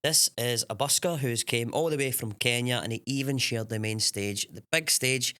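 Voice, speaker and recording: A man's voice: medium pitch, moderate pace, sharp tone, clear, resonant tone. A man hosting a podcast, keeping the chat casual and often saying "brilliant" and "mate" as he asks questions. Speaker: podcast host. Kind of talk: casual interview.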